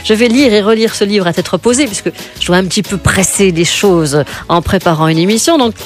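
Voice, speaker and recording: A woman with a Belgian French accent hosting a radio interview in a studio, quick and lively, laughing easily. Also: medium pitch, faint music behind. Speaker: radio host. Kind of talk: warm radio interview chat.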